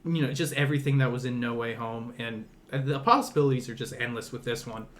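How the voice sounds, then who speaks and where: A man's voice: mid-range voice, fast, a bit bright. A man co-hosting a podcast, introducing and discussing films in casual conversation. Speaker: podcast co-host.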